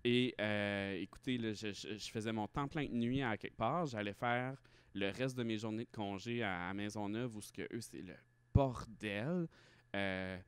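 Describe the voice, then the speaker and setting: Quebec guy in his 30s, lowish clear voice, chatting frankly on a podcast, pretty emphatic. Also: normal speed. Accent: Quebec French. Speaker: podcast guest, drag performer and hospital respiratory therapist. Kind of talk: casual conversational interview.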